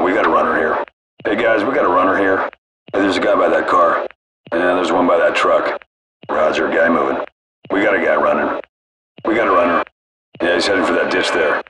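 A man playing a cool, detached military gunship crewman, giving clipped, casual callouts through a radio filter. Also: low-pitched. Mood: calm, focused. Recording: noisy military radio with engine drone.

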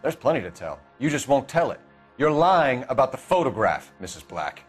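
Casting: accusing American police detective, clipped and formal, widely varied pitch, faint music underneath, game cutscene. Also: male voice, big pitch swings, moderate pace, husky. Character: stern, accusing young male police detective. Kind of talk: dramatic game interrogation acting.

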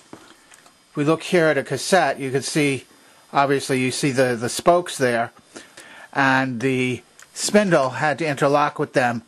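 A man's voice: mid-range voice, fairly bright tone. A man presenting a hands-on repair demonstration, explaining methodically and pointing things out step by step.

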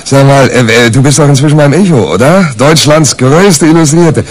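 An actor playing a brash, hungover reporter in a 1980s radio crime drama, colloquial, blustery and hesitating. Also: male voice, medium pitch, normal speed, close to an everyday voice. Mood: jovial, probing. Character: brash, hungover, cynical male reporter, scheming and combative. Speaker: lead actor and narrator in a crime radio play.

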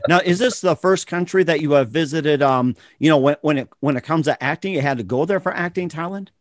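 A man's voice: on the high side, brisk, no echo, clean studio sound. A man hosting a radio interview in a casual, conversational style. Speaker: radio show host.

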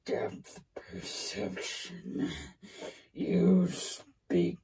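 A big grumbling forest monster from a made-up creature tale. The voice is extremely creaky and growled, lurching wildly up and down. Every word is dragged out very slowly, stubborn, puzzled and menacing. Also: female voice, fairly low pitch, big pitch swings, mellow, exaggerated voice. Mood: baffled, grumbling. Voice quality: gravelly, growling, whispery. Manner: ponderous, drawn-out, menacing. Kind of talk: improvised character story. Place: small plain room indoors.

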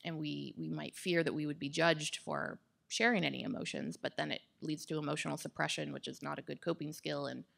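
A woman in her thirties speaking in an articulate, measured way as an interview guest on stage. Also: sits low, fairly even intonation, gravelly, a bit bright. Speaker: guest expert on climate psychology. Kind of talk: thoughtful interview answers on stage. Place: indoor stage with wood-slat backdrop. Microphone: microphone on boom stand.

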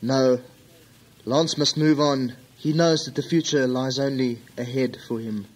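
A man's voice: medium pitch, steady tone, a little slow, slightly rough, poor audio. A man playing a nervous, weak-willed accomplice in a melodramatic radio-play parody, with hesitant delivery. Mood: earnest.

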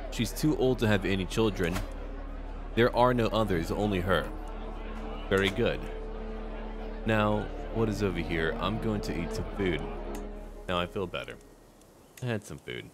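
A man narrating a strategy game roleplay in a theatrical, in-character manner. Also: on the low side. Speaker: gaming video host. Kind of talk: scripted story narration and game commentary. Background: background music.

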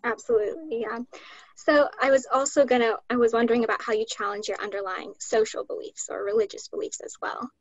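Young woman, fast talker, higher voice, affirming, dull lo-fi call sound. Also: fairly even intonation. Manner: quick, affirming. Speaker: interviewer. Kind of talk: video call chat. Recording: dull lo-fi video call audio.

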